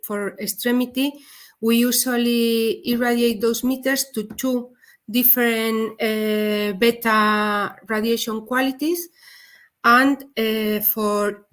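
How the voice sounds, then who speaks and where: A woman with a Spanish accent giving a technical webinar presentation, speaking haltingly with frequent pauses. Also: on the high side. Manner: hesitant, halting non-native delivery with frequent pauses. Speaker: presenter, head of a dosimetry service.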